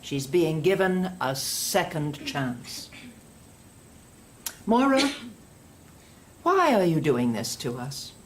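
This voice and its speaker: An actress playing a stern, sanctimonious older woman indoctrinator in an audio drama, measured and condescending. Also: deep voice, big pitch swings, a little hiss or hum, full character voice. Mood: stern, reproachful.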